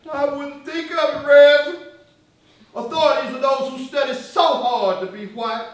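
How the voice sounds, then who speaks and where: Heard far off in a very echoey hall, a male stage actor plays a defiant militant leader with a high-pitched male voice. He argues like an accusing orator, leaning hard on words and pausing deliberately. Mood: sardonic. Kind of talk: live stage play acting. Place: stage in a reverberant room, recorded from afar. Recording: echoey hall, distant microphone.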